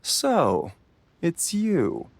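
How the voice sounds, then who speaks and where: A man's voice: fairly high pitch, huge pitch swings, extremely slow, gravelly, music in the background, full character voice. A man voicing a courteous, scholarly pharmacist in a video game, with a polite, genteel, lilting delivery. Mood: politely conversational. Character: courteous, soft-mannered male pharmacist, scholarly and unhurried. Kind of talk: video game character acting.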